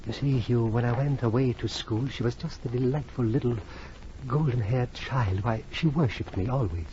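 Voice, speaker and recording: A dull, faintly noisy vintage radio recording of an actor playing a cursed, desperate male aristocrat. He speaks at a middling pitch with nervous, melodramatic insistence, as if every sense pains him. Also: normal speed, dark tone, close to an everyday voice. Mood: brooding, confessional. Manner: agitated, melodramatic, repeating words. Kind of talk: 1940s radio drama acting.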